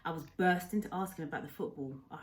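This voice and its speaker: A woman teacher reading a children's novel aloud as narrator, in a measured, expressive way. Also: low voice.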